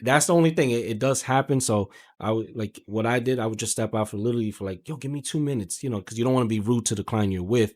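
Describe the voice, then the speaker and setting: A man's voice: medium pitch, quick pace, hardly any pauses, a little mellow. A man chatting casually as a podcast guest, talking in a slangy, exclamatory way. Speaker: podcast guest, car salesman.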